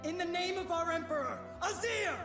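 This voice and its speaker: A fervent soldier devoted to an emperor in a video game. The voice is very high and proclaiming, with strong echo over music. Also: male voice, moderate pace, pushed and bright. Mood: rallying. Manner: reverent, proclaiming.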